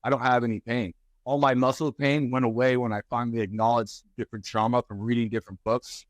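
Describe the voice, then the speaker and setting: A man's voice: mid-range voice, no room sound. A man in his forties, a guest author and speaker, talking candidly in an interview with frequent restarts. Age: 40s. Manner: halting, restarts often, animated when telling stories.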